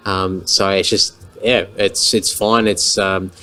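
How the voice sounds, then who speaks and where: An Australian man guesting on a podcast, telling stories in a relaxed way with plenty of fillers, in a pub. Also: low voice, slow, clean tone. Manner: relaxed storyteller, many fillers. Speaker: guest, band member. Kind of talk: casual chat. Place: pub with stools and round tables.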